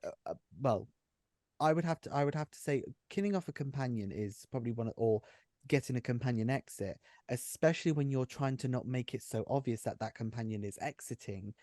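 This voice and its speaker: A man's voice: medium pitch, brisk, warm tone, dry recording, studio quality. A man who writes and runs an audio drama, chatting casually and effusively, often repeating his phrases. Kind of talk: casual behind-the-scenes chat.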